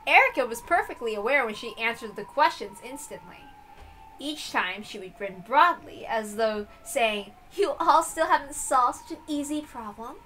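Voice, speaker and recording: A woman narrates a visual novel from the script. Her voice is bright and fairly high, with lively intonation and an expressive storytelling delivery. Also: a little hiss or hum, rough recording.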